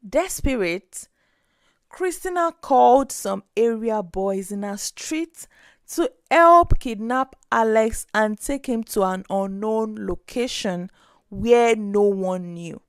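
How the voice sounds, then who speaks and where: A woman narrating a scripted story in an expressive, dramatic way. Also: fairly high pitch, slow, clean tone, no room sound, clean studio sound.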